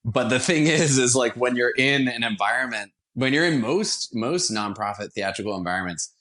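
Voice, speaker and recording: A man's voice: mid-range voice, animated, normal speed, fairly bright tone. An American man giving earnest, conversational interview answers as a guest, repeating words for emphasis. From Washington, D.C., United States. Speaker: guest, theater company founder.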